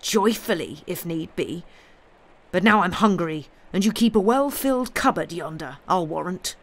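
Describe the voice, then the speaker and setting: A narrator voicing a bitter, scornful male blackmailer in an audiobook, forceful and declamatory. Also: medium pitch, lively intonation, moderate pace, natural voice. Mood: menacing. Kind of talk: dramatic audiobook character voice.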